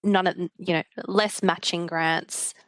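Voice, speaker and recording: An Australian woman from a local council giving evidence at a hearing, explaining in a measured way with some fillers. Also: on the low side, steady tone, no echo.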